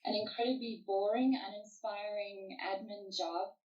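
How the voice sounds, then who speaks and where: A woman in her thirties from South Africa, an author chatting casually as an interview guest, relaxed with fillers and restarts. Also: mid-range voice, a little slow, highs cut off.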